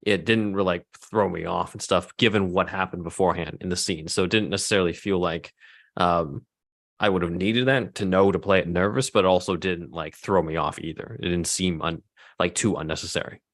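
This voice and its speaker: A man in a casual group discussion, offering his opinions tentatively and softly qualifying them. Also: low voice, fairly even intonation, a little fast.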